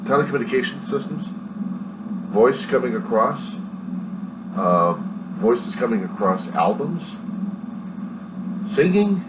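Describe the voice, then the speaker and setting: A middle-aged American man giving a halting, meandering, reflective monologue of reminiscence at home. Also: medium pitch, very animated. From Southern California, US. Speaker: vlogger. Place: small cluttered room at home. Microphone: webcam a few feet away. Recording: distant mic with loud room hum.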